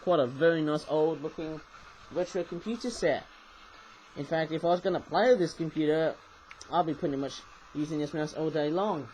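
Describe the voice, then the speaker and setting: Croaky, rambling man reviewing toys. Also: fairly high pitch, moderate pace, darkish tone, slight background noise, rough recording. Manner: rambling, self-correcting, chatty asides. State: croaky from just waking. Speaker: toy reviewer. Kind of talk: casual unboxing review. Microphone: webcam.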